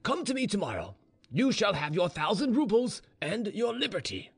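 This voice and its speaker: Dignified military father, performed by a male narrator, stern and formal, pitched high, decisive storybook dialogue. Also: big pitch swings, normal speed, gravelly, husky, full character voice. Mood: resigned, decisive. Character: stern, dignified Russian general, grieved but resigned father.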